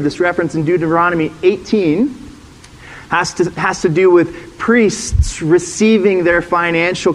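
Slightly high-voiced man preaching conversationally. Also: rough recording. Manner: conversational teaching, asks the room questions. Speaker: former pastor, guest speaker. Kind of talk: sermon.

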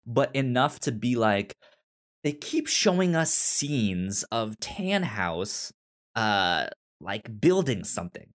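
A man with a medium-pitched voice co-hosts a casual podcast discussion. His speech is halting and stuttering, often catching on and repeating the first sounds of words before moving on. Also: fairly bright tone. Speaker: podcast co-host.

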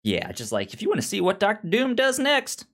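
A man's voice: high-pitched, wildly swooping pitch, a little fast, a bit bright. A man co-hosting a podcast in casual review chat, often repeating and restarting words and acting out imagined lines as he explains. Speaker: podcast co-host.

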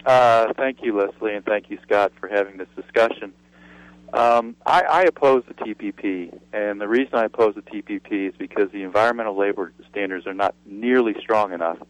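A man's voice: on the low side, brisk, no echo, poor audio. A man calling in to a radio talk show, earnest and indignant as he makes his point. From Seattle area, USA. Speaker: caller. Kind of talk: call-in comment on radio.

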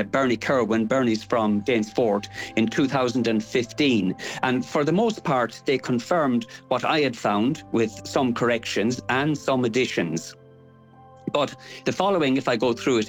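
A man's voice: medium pitch, rough recording. An older man with an Irish accent explaining local history in a scholarly, detailed, digressive way. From Ireland.

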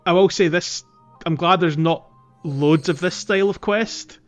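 A man with a slightly high voice co-hosts a gaming podcast. He chats casually and informally about the game with the others. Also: unhurried, faint music behind. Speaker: podcast co-host.

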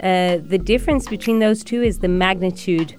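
Woman guest on a radio show, explains things clearly w simple examples, faint music bed. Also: medium pitch, normal speed, darkish tone. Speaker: guest expert on brain and performance. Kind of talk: explaining in an interview.

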